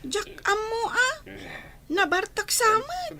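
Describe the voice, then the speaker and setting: A woman's voice: extremely high pitch, sing-song, takes it slowly, heavily acted. An actress voicing a forlorn young schoolgirl, a plaintive little sister in a radio drama. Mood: anxious.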